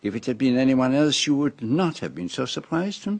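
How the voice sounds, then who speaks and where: An actor playing a shrewd, self-assured Belgian detective in a radio drama, with a French-speaking Belgian accent and a deliberate, theatrical manner. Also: male voice, medium pitch, expressive, no echo. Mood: probing. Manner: deliberate, theatrical, peppers speech with French phrases.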